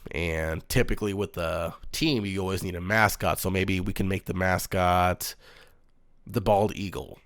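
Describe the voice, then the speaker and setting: A man hosting his own sports talk show, speaking in a calm, earnest monotone with frequent pauses and "um"s. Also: sits low, clear, resonant tone, no room sound, clean studio sound. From United States. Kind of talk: solo sports commentary.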